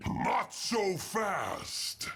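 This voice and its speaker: A menacing beast hunter from a video game, savage and proud. The voice is deep, gravelly and growling, with slow, forceful declarations over music. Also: male voice, very animated, exaggerated voice. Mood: taunting. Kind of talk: video game character voice lines.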